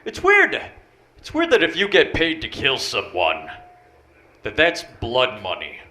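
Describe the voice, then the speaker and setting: A man in his thirties with a deep voice, telling dry, understated stand-up one-liners on a bar stage. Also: huge pitch swings, measured pace, pushed and bright. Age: 30s. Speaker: stand-up comic. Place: small bar comedy stage. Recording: roomy bar with crowd noise. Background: audience laughter.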